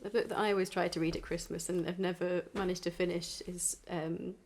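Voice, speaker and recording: A woman's voice: lowish voice, flat delivery, fairly quick. A woman musician chatting informally and introducing songs, with an easy, laughing manner. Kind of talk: casual chat between songs.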